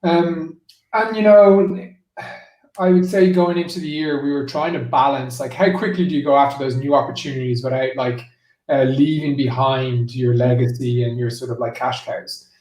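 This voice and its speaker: A man's voice: mid-range voice, fairly even intonation, moderate pace, darkish tone. Echoey, distant-sounding man explaining business. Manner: explanatory, peppered with "like" and "you know". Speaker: interview guest, marketing executive. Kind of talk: conversational business interview answers. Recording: roomy echo, distant from the mic.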